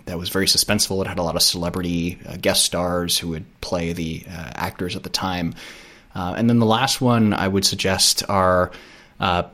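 A man's voice: low-pitched, a bit bright. A man talking casually as a podcast guest, thoughtful and a bit hesitant, often repeating words as he explains. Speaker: podcast guest, audio drama creator. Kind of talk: casual interview chat.

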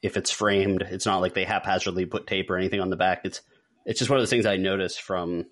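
A man's voice: low voice, fairly even intonation, fast talker, a bit bright. A man co-hosting a podcast, chatting casually. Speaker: podcast co-host. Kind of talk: casual chat.

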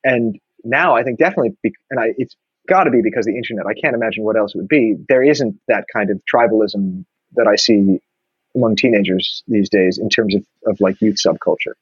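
A man's voice: on the low side, fairly quick, slightly rough, no echo, highs cut off. A man, talking thoughtfully in a casual conversation with many restarts. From New York. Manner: reflective, many restarts and fillers.

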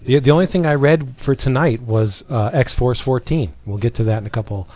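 A man of average pitch hosts a relaxed podcast, rambling, backtracking and laughing easily. His voice is dry and close, with no room sound. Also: fairly quick, no room sound. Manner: rambling, self-correcting, laughs often. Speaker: podcast host. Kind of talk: casual chat.

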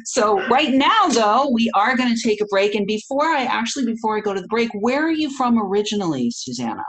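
A woman's voice: a little high, very animated, fairly quick, rough recording. A woman hosting a podcast, interviewing a guest and making upbeat announcements. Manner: upbeat, promotional. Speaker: podcast host.

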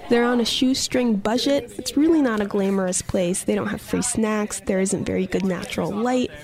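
A woman co-hosting a podcast, reading scripted narration in an even, conversational way. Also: mid-range voice, moderate pace. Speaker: podcast co-host.

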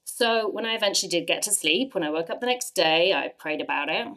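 Low-voiced English woman telling her story. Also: a little fast, low-quality recording. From England. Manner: earnest storytelling with lively emphasis. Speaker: church speaker sharing testimony. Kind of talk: personal testimony talk.

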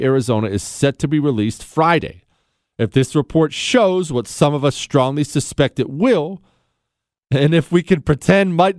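A man's voice: fairly high pitch, no room sound, clean studio sound. A man from Ohio hosting a radio talk show, opinionated, joking and sarcastic. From Ohio, USA. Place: radio studio.

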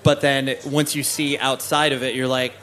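A male host leads a casual interview at a middle pitch. He winds his way into questions, stopping and restarting with plenty of "uh"s. He sounds easygoing and conversational. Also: level tone, normal speed, fairly bright tone, a little hiss or hum. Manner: meandering, hesitant, many "uh" and restarts while framing questions. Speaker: podcast host.

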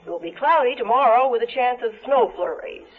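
An actress playing an impersonal radio weather announcer reading a bulletin in a 1970s radio drama. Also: female voice, a little high, lively intonation, fairly quick, narrow, tinny audio, close to an everyday voice. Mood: neutral, informative. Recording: thin radio broadcast with music.

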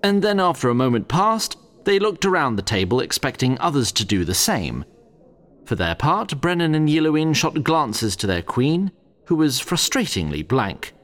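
A man's voice: fairly high pitch, very animated, moderate pace. A man narrating a fantasy audio drama in a scripted storytelling style.

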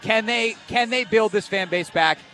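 A man hosting a live sports radio show at an event, talking in a fast, animated and emphatic way. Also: high voice, a faint crowd.

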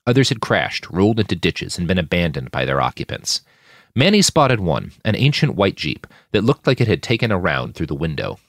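A man with a low voice narrates fiction with drama and very animated intonation. The delivery is expressive and scripted, recorded dry and close. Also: brisk, no room sound. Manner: expressive, shouts out characters' lines. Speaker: audiobook narrator reading own fiction podcast.

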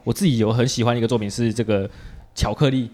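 A man's voice: medium pitch, steady tone, quick pace, darkish tone. A man with a Taiwanese Mandarin accent, chatting casually as he hosts a podcast interview. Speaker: podcast host.

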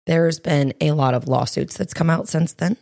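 A woman in her thirties with a raspy voice, chatting candidly as a podcast co-host and health coach, with plenty of fillers. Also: sits low, moderate pace, a little mellow, no room sound, studio quality. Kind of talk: casual podcast chat.

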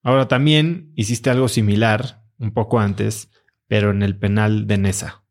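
A man's voice: on the low side, steady tone, moderate pace. A man interviewing a guest, asking curious, probing questions.

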